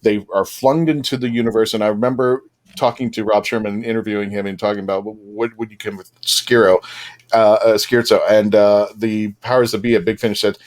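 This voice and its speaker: A man's voice: fairly low pitch, steady tone, fairly quick, darkish tone. Enthusiastic man hosting a casual panel chat. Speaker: podcast host on a fan panel.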